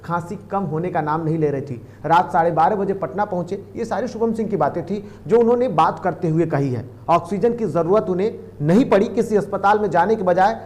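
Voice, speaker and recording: A man's voice: high-pitched, fairly quick, few pauses, darkish tone. A man anchoring the news, commenting on a report in an emphatic, wordy, repetitive way.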